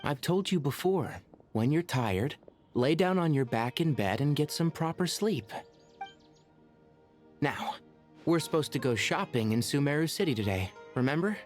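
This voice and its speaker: Man playing young game mentor, composed, authoritative, stern yet caring, animated pitch, faint music. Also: close to an everyday voice. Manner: measured, authoritative. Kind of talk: dramatic video game voice acting.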